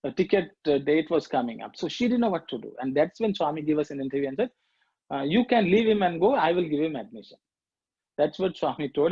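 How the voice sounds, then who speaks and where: A middle-aged man with a Sri Lankan accent, recounting his past in a reflective, halting way as a guest speaker. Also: fairly high pitch, mellow, breathy edge, no room sound. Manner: reflective, halting with fillers and restarts. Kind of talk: personal testimony talk. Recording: muffled lo-fi dry audio.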